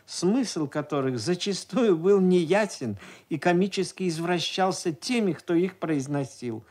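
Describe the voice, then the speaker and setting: A man reading a scripted, measured literary commentary as a radio host. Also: on the high side, a little mellow.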